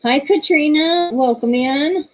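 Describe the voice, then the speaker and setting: A woman's voice: high voice, slow pace, rough recording. A woman, hosting a casual livestream, chatty and laughing often as she reads viewer comments aloud. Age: 30s. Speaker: livestream host.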